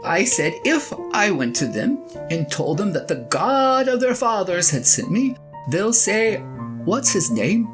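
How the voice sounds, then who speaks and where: A woman's voice: mid-range voice, very animated, measured pace. A woman playing a flustered, self-doubting shepherd husband in an audio drama, stammering earnestly as the character confides in a spouse. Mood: flustered, disbelieving. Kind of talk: one-sided audio drama monologue, conversational and comic. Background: plucked guitar music.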